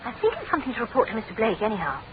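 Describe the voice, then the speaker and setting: A woman's voice: fairly high pitch, huge pitch swings, very fast, heavy background noise. A woman playing a witty, tart detective's secretary in a British radio drama, with a proper, dry manner. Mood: wary. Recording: tinny phone-like radio recording.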